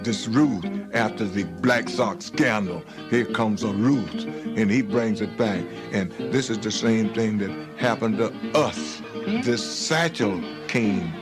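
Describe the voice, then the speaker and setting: A man telling baseball history slowly and deliberately in a documentary interview. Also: fairly low pitch. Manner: slow, measured storytelling. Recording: documentary clip with music under it. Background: guitar music.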